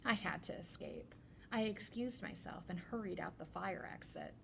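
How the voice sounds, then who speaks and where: Woman reading to children, slightly gravelly voice. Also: on the low side, background noise. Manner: deliberate, expressive storytelling to children. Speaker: teacher librarian. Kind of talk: children's picture book read-aloud.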